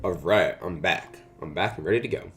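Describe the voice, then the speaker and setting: A young man streaming a card game, chatting casually with a raspy morning voice and lots of "uh" and "like" fillers. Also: sits low, expressive, a little fast, soft background music. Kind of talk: casual live-stream chat.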